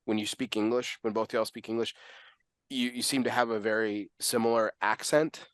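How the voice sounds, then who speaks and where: Brief: a rambling, enthusiastic male host with a somewhat low voice, recorded dry and close. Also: fairly even intonation, moderate pace, fairly bright tone, clean tone, no room sound. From United States. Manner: enthusiastic, rambling, full of fillers and "you know". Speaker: podcast host. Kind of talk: casual conversational interview.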